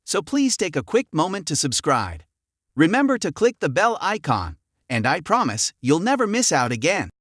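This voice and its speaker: A man's voice: fairly high pitch, huge pitch swings, moderate pace, fairly bright tone, no room sound, clean studio sound. A man reading an upbeat scripted channel promotion as an announcer.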